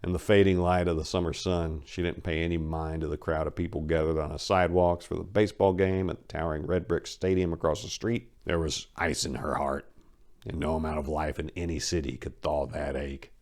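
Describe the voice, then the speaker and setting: A man with a low voice narrates crime fiction. He reads from a script in a dramatic style, as the storyteller. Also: flat delivery, a little fast, a little mellow.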